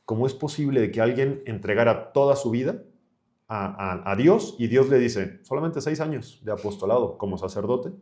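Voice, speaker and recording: A man's voice: mid-range voice, moderate pace, dark tone. A man of thirty-five from Chile, a priest talking colloquially as a podcast guest. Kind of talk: conversational podcast interview.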